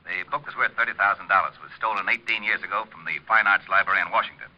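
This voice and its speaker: Man as a fast, deep, gruff police lieutenant. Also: close to an everyday voice. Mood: blustery. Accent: American. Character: blustery, teasing male police lieutenant. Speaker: radio actor. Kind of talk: 1940s radio detective acting. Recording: dull, muffled old radio recording.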